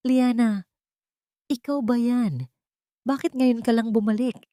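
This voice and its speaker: A woman's voice: a little high, fairly even intonation, measured pace, warm tone, dry recording, studio quality, voice pushed up, close to an everyday voice. Woman voicing a bitter, heartbroken Filipino man. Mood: drunken longing. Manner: pained, bitter.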